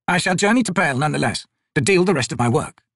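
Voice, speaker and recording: Audiobook role: nervous, courteous old merchant, read by a man, hesitant, formal, slightly rough voice. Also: on the high side, expressive, fairly bright tone, dry recording, clean studio sound, pitched above the performer's own voice. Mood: meek, polite. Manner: slow, hesitant, formally polite. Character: meek old male trader and artisan, nervously polite. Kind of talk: audiobook character voice.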